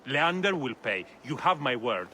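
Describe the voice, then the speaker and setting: A resolute rebel leader from a video game, weary of tyrants. His voice is medium in pitch, bright and earnest, with faint outdoor noise behind it. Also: sing-song, moderate pace.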